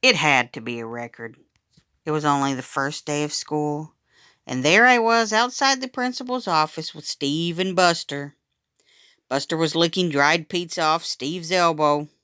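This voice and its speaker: A woman reading a children's story aloud in an animated, expressive way, acting out the dialogue as she goes. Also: deep voice, unhurried, smooth, no room sound, studio quality.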